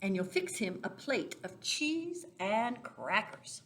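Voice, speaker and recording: Lady doing storytime for little kids, really slow, big swoopy expressive voice, talks right to them. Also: mid-range voice. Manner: expressive, deliberate, stresses key words; talks directly to young listeners, asking simple questions. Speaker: children's storyteller for a church Sunday school. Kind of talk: animated picture-book read-aloud with questions to young children.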